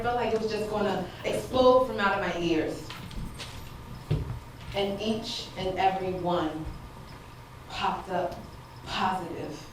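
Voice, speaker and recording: An actress playing an emotional, hopeful wife who tells a deliberate, dramatic story in a stage monologue. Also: female voice, mid-range voice, lightly acted. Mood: joyful, amazed. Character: hopeful, emotional wife recounting her long-awaited first pregnancy. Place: stage in a theater. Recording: very echoey stage, distant mic, audible room noise.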